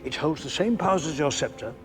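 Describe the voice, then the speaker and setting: An actor playing a scheming man hunting an ancient artifact, speaking in a measured, conspiratorial way. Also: on the high side, expressive, music in the background, lightly acted.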